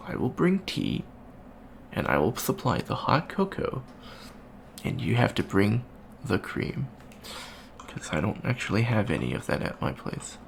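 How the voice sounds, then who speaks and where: A man's voice: fairly low pitch, wildly swooping pitch, unhurried, gravelly, full character voice. A man playing a shy, friendly young avian alien student in an ASMR roleplay, hesitant, stammering and rambling. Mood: shy, eager. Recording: faint background noise.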